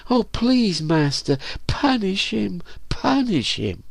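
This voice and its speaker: A man's voice: high voice, sing-song, slow pace, vocal fry, no echo, pitched above the performer's own voice, exaggerated voice. A man voicing a young slave woman in an audiobook, pleading and wailing in tearful, theatrical terror.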